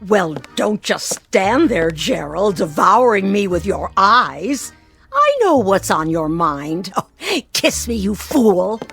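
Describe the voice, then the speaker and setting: Brassy, slow-drawling flirtatious party woman. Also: mid-range voice, very animated, fairly bright tone, breathy edge, faint music behind, exaggerated voice. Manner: coy, drawling, wisecracking. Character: brassy, flirtatious, self-mocking hefty woman party guest. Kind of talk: radio drama acting.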